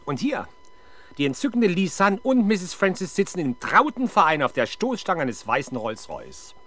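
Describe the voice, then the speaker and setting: A man's voice: high-pitched, wildly swooping pitch, moderate pace, fairly bright tone, heavily acted. An actor playing a sardonic, exasperated male police detective in a 1970s German radio crime drama, with dry, sarcastic delivery. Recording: 1970s radio production with faint background noise.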